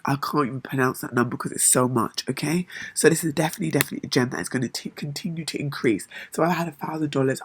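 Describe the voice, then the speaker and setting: Hoarse, husky-voiced woman vlogger. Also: deep voice, dry recording. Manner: chatty and enthusiastic, with frequent fillers and repetitions. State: hoarse, lost voice. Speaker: crypto vlogger. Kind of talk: casual talk-to-camera vlog.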